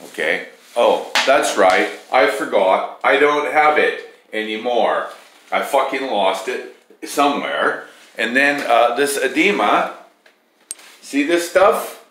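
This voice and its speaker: A man's voice: medium pitch, big pitch swings, unhurried, bright, forceful tone, roomy sound, slight background noise. A man vlogging into his phone in a put-on Scottish accent, swearing in nearly every sentence as he rants. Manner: profane, cursing constantly. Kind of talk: casual vlog to camera. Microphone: phone camera.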